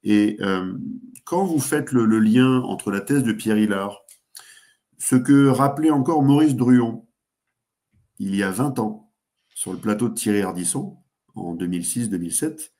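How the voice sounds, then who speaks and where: A man speaking as a guest commentator, giving geopolitical analysis in a talk show, pausing often with fillers and restarts. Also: fairly low pitch, expressive, mellow. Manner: hesitant, many fillers and restarts.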